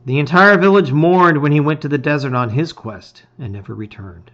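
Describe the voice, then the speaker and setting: A man's voice: fairly high pitch, normal speed, fairly bright tone, lo-fi sound. A man reading a gamebook aloud as a dramatic story, with theatrical, emphatic phrasing.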